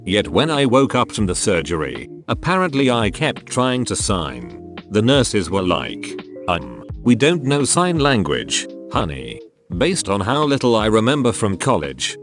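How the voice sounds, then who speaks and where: A man reading out forum stories as narration over background music. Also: lowish voice. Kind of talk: read-aloud narration.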